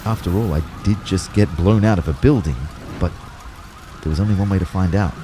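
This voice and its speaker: A man's voice: low-pitched, fairly quick, background noise, natural voice. A man acting a dazed young man who narrates a disaster in the first person, as dramatic scripted storytelling. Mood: tense, reflective.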